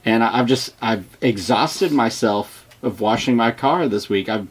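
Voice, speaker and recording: A man's voice: medium pitch, a little slow. A man co-hosting a podcast, talking in an easygoing, agreeable way. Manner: easygoing, agreeable, laughs often. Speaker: podcast co-host. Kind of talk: casual chat.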